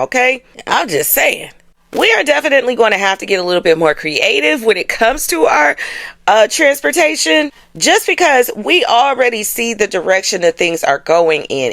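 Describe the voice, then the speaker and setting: A woman's voice: medium pitch, fairly bright tone, dry recording. Casual American woman, dry close recording. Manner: conversational, colloquial, emphatic, with frequent check-in tags. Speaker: astrology host. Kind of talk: casual explanatory monologue to viewers.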